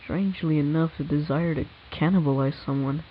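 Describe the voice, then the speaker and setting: A man playing a government scientist giving a grave, careful public statement, speaking semi-formally and a little haltingly, on a lo-fi recording. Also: fairly high pitch, steady tone, natural voice. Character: male government disease scientist addressing the public, grave, hesitant. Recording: dull lo-fi audio with hiss.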